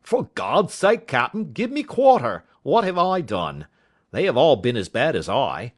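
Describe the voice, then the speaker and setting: A man's voice: medium pitch, sing-song, measured pace, pitched above the performer's own voice. A man voicing a desperate mutineer who cries out begging for mercy in a storybook reading. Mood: pleading. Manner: pleading, crying out.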